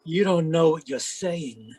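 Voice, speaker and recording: A man's voice: a little high, lively intonation, a little slow, darkish tone, breathy edge, dry recording. A man playing a pushy, slick, scheming villain in an old-time radio drama. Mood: incredulous. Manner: slick, menacing, calls woman baby. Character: scheming, pushy male villain in frontier melodrama.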